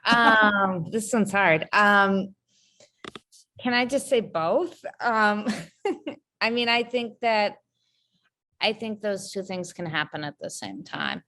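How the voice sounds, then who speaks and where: A woman's voice: mid-range voice, very animated, takes it slowly, long pauses, sharp tone. A woman around forty, a poet on a panel, answering thoughtfully and searching for her words. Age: about 40. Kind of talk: reflective panel conversation.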